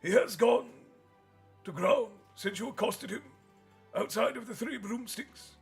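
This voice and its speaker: A man voicing a wise, elderly male headmaster who speaks in a measured, courteous way, high above his own voice, with soft music behind. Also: gravelly. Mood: assured.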